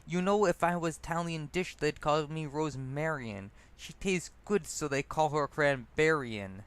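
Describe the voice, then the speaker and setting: Man reading as a bold female street rapper in a story, raised pitch, loud rhyming lines. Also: unhurried, clear, resonant tone, natural voice. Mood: bold, playful.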